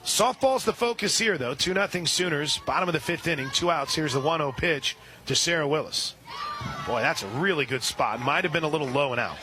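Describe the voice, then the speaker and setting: Excited radio softball play-by-play man. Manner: rises sharply and loud on big plays; reads sponsor spots quickly between pitches.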